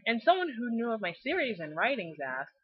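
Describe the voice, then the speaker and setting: A woman's voice: a little high, sing-song, fairly quick, husky. A young woman hosting a fan video with scripted commentary, sarcastic, exaggerated and profane, on a lo-fi mic. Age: young adult. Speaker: host of a fan video series.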